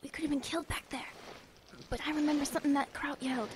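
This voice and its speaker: A woman playing an excited young English boy in a wartime audio drama, hushed and urgent. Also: high-pitched, quick pace, noisy background, close to an everyday voice.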